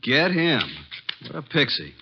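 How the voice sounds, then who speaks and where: An actor playing a brisk, wry detective's assistant who also narrates, in 1950s radio drama style. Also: male voice, a little high, very animated, noisy background. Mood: wry, puzzled. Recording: dull, lo-fi vintage radio recording.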